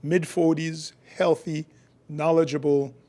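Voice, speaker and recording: A man's voice: a little high, level tone, takes it slowly, a little mellow. A middle-aged man from Haiti, a physician guest explaining his work and views in a podcast interview. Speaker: guest, functional medicine physician.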